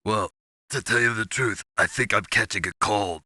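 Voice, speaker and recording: A man playing a gruff, sardonic, tough covert-ops soldier in a video game, with a gravelly voice and terse, wry delivery. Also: lowish voice, normal speed, pushed and bright, no echo, clean studio sound. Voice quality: gravelly, raspy.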